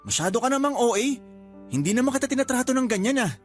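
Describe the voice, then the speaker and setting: A man's voice: very high-pitched, animated, fast talker. A man with a Filipino accent playing a carefree young father in a radio drama, whiny and defensive as he bickers. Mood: irritated. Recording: music behind the dialogue.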